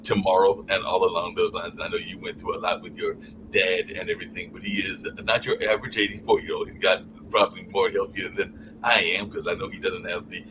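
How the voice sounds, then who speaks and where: A man's voice: low-pitched, little pitch movement, a little fast. A man on a live call-in podcast, talking in long rambling stretches and often trailing off with "along those lines". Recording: noisy stream audio.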